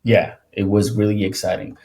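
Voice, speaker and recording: A man's voice: lowish voice, normal speed. A young man, a podcast guest chatting loosely with many restarts. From Ethiopia. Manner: relaxed, rambling with frequent restarts and laughs. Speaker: podcast guest, worship singer. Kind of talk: casual conversational interview.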